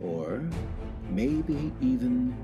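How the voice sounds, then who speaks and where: A man's voice: a little high, wildly swooping pitch, drawn-out words, lo-fi sound, music in the background, full character voice. A man acting a solemn, portentous announcer narrating a mystery radio play with dramatic phrasing.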